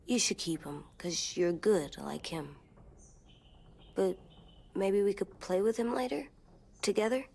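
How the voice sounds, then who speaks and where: A woman voicing a solemn little boy in a video game drama, blunt and childlike, with a husky voice. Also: mid-range voice, measured pace, pauses often, a little mellow. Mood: guilty, solemn. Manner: blunt, childlike, simple sentences.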